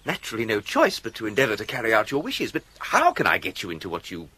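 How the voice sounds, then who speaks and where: An actor playing an urbane, unflappable English gentleman in a radio drama, verbose and politely mocking. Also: lowish voice, huge pitch swings, fast talker, husky, full character voice. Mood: suave, mocking. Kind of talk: 1980s radio drama acting.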